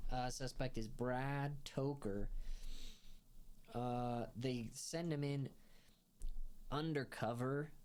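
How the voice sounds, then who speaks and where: A man's voice: medium pitch, a little mellow. A young man giving a casual spoken review of a comedy series, rambling with lots of fillers and laughing as he talks. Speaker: show reviewer.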